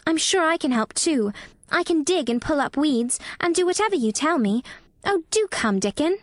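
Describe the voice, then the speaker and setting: A woman's voice: high-pitched, big pitch swings, normal speed, no echo, pitched above the performer's own voice. A woman voicing an earnest, eager and slightly anxious little girl in a storybook, with an intense, pleading manner. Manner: pleading, wide-eyed, intense.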